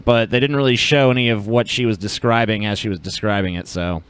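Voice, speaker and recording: A man's voice: fairly low pitch, fairly even intonation, brisk, a bit bright, clear, resonant tone. A man co-hosting a podcast in casual chat, sardonic and foul-mouthed. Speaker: podcast co-host.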